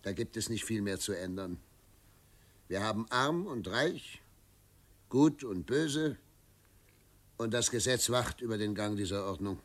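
A man playing an authoritarian middle-aged governor and father in a radio drama, speaking in a measured, declamatory and patronizing way. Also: lowish voice, expressive. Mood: confident, patronizing. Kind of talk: German radio drama acting.

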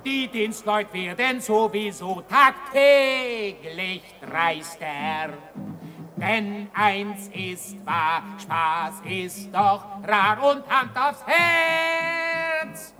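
An actress playing a mocking street ballad singer in a radio play, half singing and declaiming to the common folk. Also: female voice, mid-range voice, extremely slow, bright, forceful tone, faint music behind. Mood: mock outraged. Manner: declamatory, theatrical, half sung.

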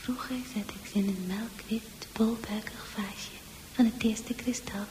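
An actress playing a fragile, haunted female psychiatric patient in a radio drama, halting and dreamy, with a breathy, often near-whispered delivery. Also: mid-range voice, steady tone, darkish tone, close to an everyday voice. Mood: dreamy, melancholy. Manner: halting, poetic, lost in thought. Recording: faintly noisy old radio play recording.

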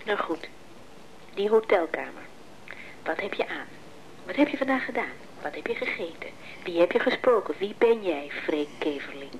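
A woman's voice: on the low side, lively intonation, normal speed. An actress playing a wistful, affectionate married woman in a radio play, asking intimate, probing questions. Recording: noisy radio play recording.

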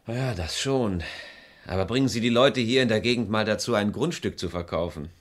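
An actor playing a troubled, deferential property dealer in a radio crime drama, polite and uneasy. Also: male voice, lowish voice, fairly quick, natural voice. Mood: polite, aggrieved. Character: troubled, deferential male property dealer visiting an older friend.

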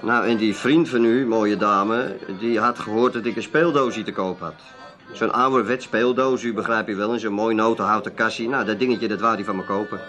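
A man's voice: on the low side, fairly even intonation, normal speed, fairly bright tone, muffled recording, soft background music, close to an everyday voice. A man playing a chatty, evasive small-time dealer in a radio drama, with a folksy, hesitant way of talking. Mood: guarded, chatty. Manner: folksy, hesitant, tag-heavy talk. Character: chatty, evasive male dealer in odds and ends.

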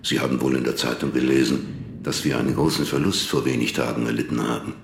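Very deep, gravelly, dignified older man. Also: fairly even intonation, quick pace, full character voice. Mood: formal, reserved. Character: grave, courteous older great poet, gently condescending. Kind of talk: German radio play dialogue. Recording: roomy, noisy ambience.

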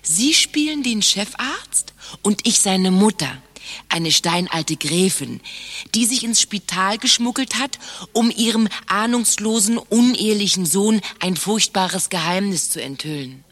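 A woman's voice: medium pitch, animated, a little slow, fairly bright tone. An actress playing a grand female patient with a stately, theatrical, dry manner in a satirical radio play. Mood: grandly dramatic.

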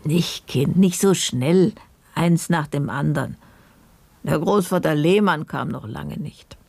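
An actress playing an older grandmother who narrates her youth as a maidservant in an unhurried, reflective radio drama style. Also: low voice, creaky, a little mellow, breathy edge, dry recording, heavily acted. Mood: reflective, fond.

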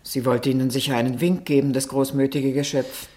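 Vintage radio drama, candid female confidante, composed, direct, quietly reproachful and firm. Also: rumbling low, little pitch movement, brisk. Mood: curious. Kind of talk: 1960s German radio play acting.

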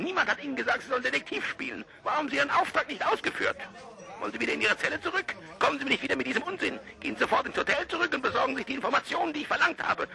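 An actor playing an impatient, threatening police official with a curt, commanding manner in a radio drama. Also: male voice, very high-pitched, gravelly, bright, forceful tone, full character voice, poor audio.

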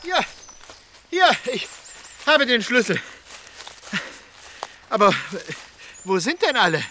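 An actor playing a petulant, self-important young suitor who grumbles jealously, in a German radio play. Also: male voice, extremely high pitch, big pitch swings, slow pace, background noise, full character voice. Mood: flustered.

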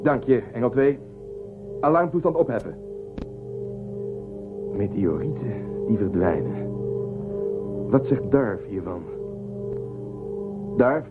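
A man playing a measured, authoritative spaceship commander in a science fiction radio drama. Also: mid-range voice, expressive. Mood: commanding. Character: male spaceship commander, calm authority, questioning. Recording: lo-fi phone-like band, music behind.

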